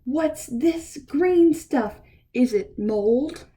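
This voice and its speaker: A woman's voice: sits high, animated, extremely slow, warm tone, slight background noise, heavily acted. A woman voicing hungry, demanding animal customers in a picture book, whining and insisting about their food. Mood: whiny.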